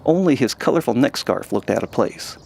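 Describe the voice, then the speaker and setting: A man's voice: mid-range voice, animated, brisk, gravelly, a little mellow, husky. A man reading scripted fantasy narration as the storyteller of an audio drama.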